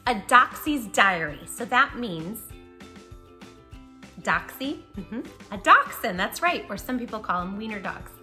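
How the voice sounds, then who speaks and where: A woman presents a read-aloud for small children in a bright voice with lively, swooping pitch. She addresses the child directly and playfully, leaning on words for emphasis like a practiced storyteller. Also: unhurried, faint music behind.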